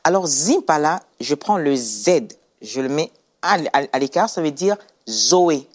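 Low-pitched, emphatic woman. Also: expressive, unhurried, a little mellow. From Kinshasa, Congo. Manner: emphatic, repeats phrases for effect. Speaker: guest, clothing brand founder. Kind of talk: animated personal testimony in conversational interview.

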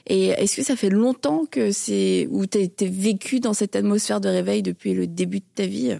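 A woman's voice: mid-range voice, normal speed, creaky, no echo, clean studio sound. Chatty woman hosting a podcast. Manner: warm chatty hesitant, many fillers. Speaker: podcast host. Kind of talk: casual chat.

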